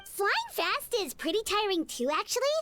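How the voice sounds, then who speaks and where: A woman voicing a small, excitable fairy-like companion creature in a video game. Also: very high voice, moderate pace, very noisy. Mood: composed, chatty.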